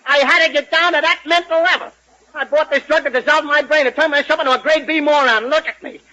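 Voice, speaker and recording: An excitable songwriter in an old radio comedy. He sounds indignant and emphatic, with a very high, piercing voice on a vintage broadcast. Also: fast talker, dull audio. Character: excitable, indignant male songwriter and his cronies. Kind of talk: 1940s radio comedy acting.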